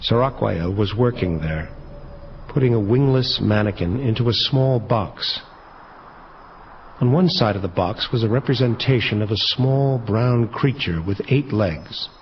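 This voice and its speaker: A man's voice: lowish voice, animated, unhurried, highs cut off, a little hiss or hum. An actor playing a world-weary male angel detective who narrates his case in hardboiled audio drama style. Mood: reflective.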